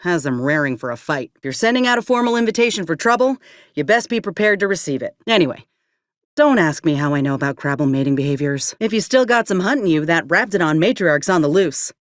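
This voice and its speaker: A woman's voice: on the low side, lively intonation, fast, no room sound, clean studio sound, close to an everyday voice. A woman voicing a plainspoken, wry frontier companion in a video game, with a folksy Southern American drawl. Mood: matter-of-fact.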